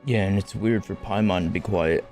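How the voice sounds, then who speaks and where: Low-pitched man playing a game. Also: moderate pace, a little mellow. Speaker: gamer reading dialogue choices and commenting. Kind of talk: casual game playthrough. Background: game music.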